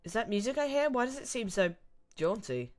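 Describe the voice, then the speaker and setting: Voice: medium pitch, big pitch swings, fairly quick, pitched above the performer's own voice, lightly acted. A reader voicing a sarcastic, indignant young woman held captive, dramatic and exclamatory, in an amateur drama. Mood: bewildered, sarcastic.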